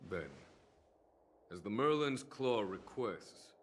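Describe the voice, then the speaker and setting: Male general for a game: courteous, very unhurried, expressive low voice, diplomatic. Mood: measured. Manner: measured, diplomatic. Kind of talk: video game voice acting, story dialogue.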